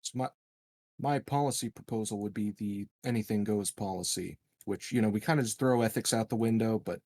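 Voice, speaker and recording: A man's voice: fairly low pitch, brisk, darkish tone. A man playing a tabletop roleplaying game, casually arguing his case as a player. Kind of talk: casual game strategy discussion.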